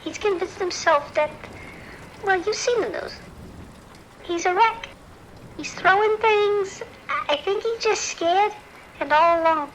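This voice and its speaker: Kind hotel desk worker played by a woman: strikingly high, anxious, familiar, slight noise behind, audio drama. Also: unhurried, pauses often, fairly bright tone, rough recording. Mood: worried, sympathetic. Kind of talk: naturalistic audio drama acting.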